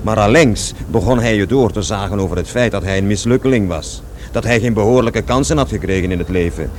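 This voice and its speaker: Actor as low-voiced, aggrieved rambling man, noisy recording. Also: fairly quick, natural voice. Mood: grumbling. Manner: digressive, self-correcting, repeats words emphatically. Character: aggrieved, rambling man recalling his overbearing father. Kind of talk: radio play dialogue, conversational storytelling.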